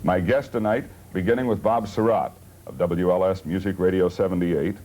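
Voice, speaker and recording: A man's voice: low voice, normal speed, warm tone. A man hosting a talk show in a casual, folksy and jocular manner. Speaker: television talk show host. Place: television studio. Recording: hissy 1970s TV broadcast audio.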